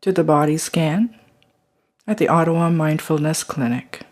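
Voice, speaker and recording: A calm meditation guide talks through a body scan in an unhurried, gentle way. Phrases fade softly, sometimes into a near whisper. The pitch sits at a middling level. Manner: slow, soft and calm, trailing into whispers at phrase ends.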